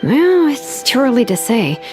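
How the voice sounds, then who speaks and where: A wry researcher. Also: female voice, sits high, big pitch swings, a little slow, a little mellow, faint music behind. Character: brisk female researcher, wry.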